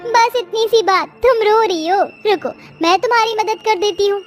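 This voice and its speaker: A woman puts on a kind, emotional young bangle seller from a storybook. The voice is extremely high and expressive, with faint music behind. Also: normal speed. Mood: reassuring.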